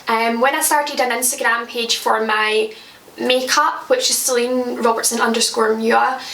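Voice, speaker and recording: A woman's voice: fairly high pitch, steady tone, measured pace, a bit bright, echoey room, recorded from a distance, a little hiss or hum, poor audio. A Scottish woman in her twenties chatting casually to camera as a makeup vlogger at home, joking about her own slips. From Scotland. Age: 20s. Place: at home, indoors.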